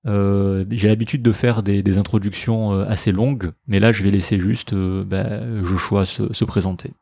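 A young man hosting a podcast, talking with eager enthusiasm and stumbling over his words. His voice is low and mellow. The recording is dry and close. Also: little pitch movement, moderate pace, gravelly, dry recording. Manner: enthusiastic, with many fillers and restarts. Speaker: podcast host. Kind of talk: conversational interview and sponsor read. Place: visiting Harvard, Boston.

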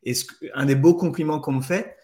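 A man speaking as a guest on a live talk, relaxed and casual, often repeating words as he goes. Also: on the high side, brisk. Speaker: guest storyteller.

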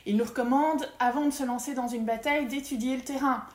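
A woman's voice: high voice, brisk. Chatty woman with a roomy echo. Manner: lively, many hesitations and restarts. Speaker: feng shui consultant, podcast guest. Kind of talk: casual interview conversation.

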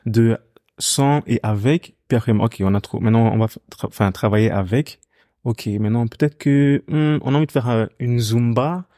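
A young man from Ghana with a Ghanaian accent talks through his story in an easygoing way. He loops back on his own phrases and fills the gaps with hesitations. His voice is warm and dark, and the dry recording keeps it close. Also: mid-range voice, moderate pace, no echo. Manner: relaxed storytelling, many fillers and repeated phrases. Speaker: music artist guest. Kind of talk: casual conversation.

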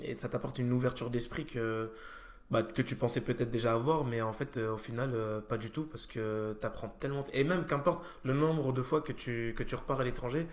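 A man's voice: medium pitch, fairly even intonation, brisk, echoey room. A young French man hosting a casual chat, hesitant and full of fillers and restarts. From France. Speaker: podcast host.

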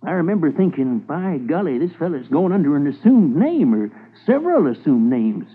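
Casting: folksy shopkeeper, high and lively, halting and eager to help, old radio drama. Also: male voice, husky. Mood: recalling, emphatic. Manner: folksy, halting, thinking aloud. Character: male shopkeeper key cutter, folksy, hesitant, eager to help. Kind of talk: 1950s radio drama acting. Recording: tinny narrow-band old radio recording.

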